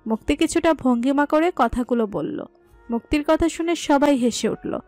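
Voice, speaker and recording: A woman narrating a romantic family story in a calm, even manner. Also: sits high, normal speed, no room sound. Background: soft music.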